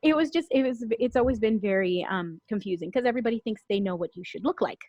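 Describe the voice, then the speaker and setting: A woman from Puerto Rico, a guest on a podcast and an actor, telling personal stories candidly, animated and emotional, acting out the voices she quotes. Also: fairly high pitch, quick pace, no room sound, highs cut off. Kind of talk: candid conversational storytelling.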